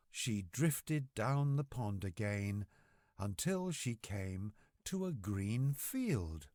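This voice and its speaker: Deep, slow male storyteller with lively intonation. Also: no room sound, clean studio sound. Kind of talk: scripted bedtime story narration for children.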